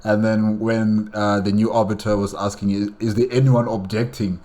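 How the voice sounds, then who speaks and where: A man with a fairly low voice gives casual reaction commentary. He talks haltingly, repeating himself while he looks for the next word. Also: flat delivery, mellow. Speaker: reaction video host.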